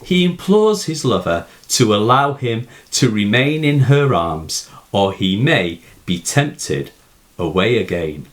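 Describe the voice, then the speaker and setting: A man giving a scripted, explanatory poetry lesson in a measured, formal manner. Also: on the low side, big pitch swings. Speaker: poetry teacher. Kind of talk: scripted explanatory narration of a poem analysis.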